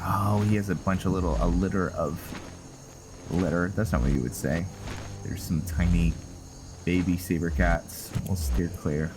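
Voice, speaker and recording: A man's voice: low-pitched, fairly even intonation, moderate pace. A man giving casual commentary on a roleplay game, rambling and thinking aloud as he plays. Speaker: gaming channel host. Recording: clearly noisy background.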